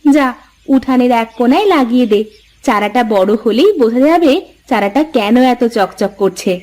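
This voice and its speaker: A woman's voice: fairly high pitch, expressive, normal speed, warm tone, natural voice. A woman voicing a practical, brusque village mother in a cartoon fairy tale. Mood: gentle.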